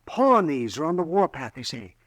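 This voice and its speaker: An actor playing a folksy older frontier doctor in a 1950s radio drama. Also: male voice, fairly high pitch, sing-song, normal speed, fairly bright tone, no room sound. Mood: offhand.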